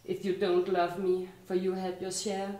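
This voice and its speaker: A Danish woman with a Danish accent reciting her own poetry at a live reading, her lines slow and rhythmic, sinking quieter at each ending. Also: fairly low pitch, completely flat delivery, reverberant, poor audio. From Denmark. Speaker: poet performing at a live reading. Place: art and culture community venue.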